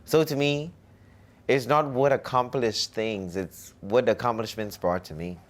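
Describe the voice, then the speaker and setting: A man's voice: mid-range voice, measured pace. A young man from the Bahamas, a musician answering interview questions in a cocky, self-promoting way.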